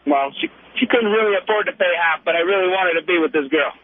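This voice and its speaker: A man's voice: high-pitched, fast talker, slight background noise. A man calling in to a radio show, talking casually in an insistent, defensive way. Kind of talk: casual phone-in conversation. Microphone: telephone. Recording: narrow tinny telephone line.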